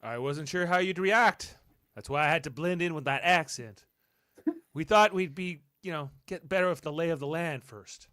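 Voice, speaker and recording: Resolute starship captain played by a man, fairly high bright voice, earnest and measured, sci-fi radio play. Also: no echo, studio quality, lightly acted. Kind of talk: amateur radio theatre acting.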